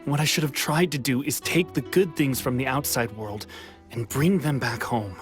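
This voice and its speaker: A man's voice: medium pitch, normal speed, lightly acted. An actor playing a weary young man back from exile in a video game, talking in an earnest, wistful way with sighs. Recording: game soundtrack music faint behind.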